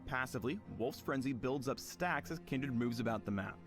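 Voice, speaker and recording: A man narrates a scripted game guide in a mid-range voice. His phrasing is playful and a little wry as he walks through tips like a tutorial narrator. Music plays clearly underneath him. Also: medium pitch, moderate pace. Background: music behind the voice.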